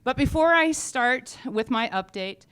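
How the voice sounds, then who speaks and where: A woman's voice: high voice, lively intonation, a little slow, a bit bright, clean tone. A woman company founder giving an informal update on stage, speaking in a frank, humorous, chatty way. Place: on stage at a live event.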